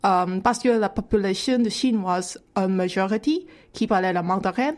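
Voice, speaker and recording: A woman's voice: medium pitch, moderate pace, darkish tone. A woman whose first language is Cantonese, speaking French with a Cantonese accent as a radio columnist, explaining carefully with many hesitations. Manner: hesitant, frequent "euh" fillers, careful second-language French. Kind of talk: radio segment chat.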